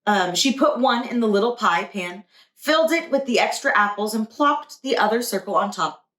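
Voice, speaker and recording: A woman's voice: medium pitch, expressive, normal speed, fairly bright tone. A woman, a preschool teacher, reading a children's storybook aloud. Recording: echoey room.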